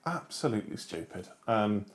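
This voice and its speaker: Low-voiced man, animated chat. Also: moderate pace. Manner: animated, emphatic, trailing off into fillers. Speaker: co-host. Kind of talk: casual chat.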